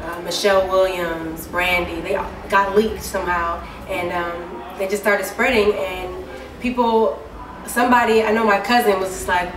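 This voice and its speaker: A woman singer giving a casual interview, talking in a deep, low speaking voice with many easygoing fillers. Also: moderate pace. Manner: relaxed and chatty, many fillers like "um" and "you know". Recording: echoey room, mic far off, clear background noise.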